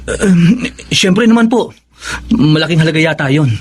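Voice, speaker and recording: A man's voice: on the high side, normal speed, husky. An actor playing a nervous, servile henchman in a radio drama, stammering and deferential. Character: nervous, servile male henchman, eager for money.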